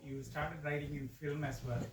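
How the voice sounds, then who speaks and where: A man's voice: fairly high pitch, fairly even intonation, fairly quick. A man in the audience asking a writer brief, plain questions. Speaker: audience member. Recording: roomy echo, distant, noisy.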